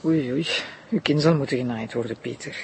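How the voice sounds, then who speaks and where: A woman with a Flemish accent playing a concerned, affectionate, gently scolding wife in a naturalistic TV crime drama, speaking colloquially. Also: a bass voice, a little fast, creaky, a crowd in the background, poor audio. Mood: concerned, fond.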